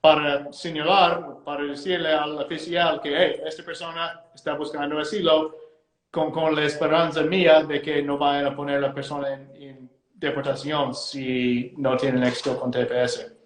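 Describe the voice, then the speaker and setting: American man speaking careful, halting Spanish. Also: on the high side, flat delivery, roomy sound, low-quality recording. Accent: American English. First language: English. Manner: hesitant, repeats words, careful phrasing. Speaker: immigration lawyer, guest. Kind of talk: live interview, explaining law.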